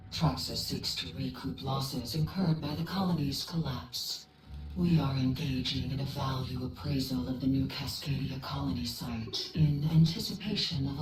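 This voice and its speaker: A man's voice: medium pitch, echoey room, recorded from a distance, lightly acted, rough recording. A man voicing a cold, legalistic corporate liaison AI in a video game, speaking formally and officiously. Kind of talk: scripted video game cutscene dialogue. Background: music.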